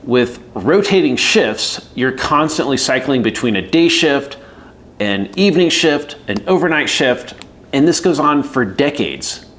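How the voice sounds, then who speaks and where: A man's voice: medium pitch, fairly bright tone. Man giving candid career advice. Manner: conversational, acts out quoted voices, frequent fillers. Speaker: air traffic controller giving career advice. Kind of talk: casual explainer video.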